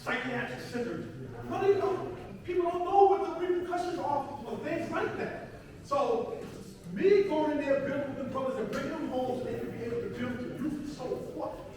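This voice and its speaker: A man's voice: very high-pitched, brisk, a little mellow. A man with a New York accent gives an earnest testimonial speech in an emphatic, repetitive, colloquial way. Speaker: speaker at a community assembly. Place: large indoor hall, picked up from afar. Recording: very echoey hall, distant mic, clear noise.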